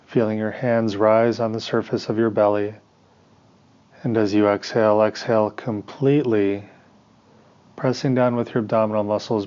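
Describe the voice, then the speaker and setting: A man leading a guided meditation as a teacher, giving measured instructions in short phrases with pauses between them. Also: lowish voice, warm tone, clear, resonant tone, lo-fi sound.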